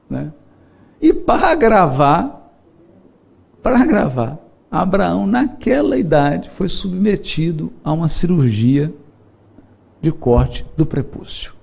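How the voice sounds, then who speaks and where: A middle-aged Brazilian man lectures with a high, lively voice that swoops up and down. He is playful, laughs often and acts out the people in his stories. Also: vocal fry, husky. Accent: Brazilian Portuguese. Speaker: spiritist lecturer. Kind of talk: seminar lecture with storytelling.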